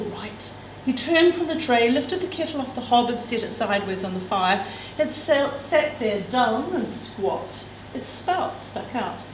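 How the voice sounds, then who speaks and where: Female reader, expressive literary delivery with informal asides, higher register, roomy distant sound. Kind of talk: reading a novel extract aloud with casual asides. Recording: echoey room, distant mic, background noise.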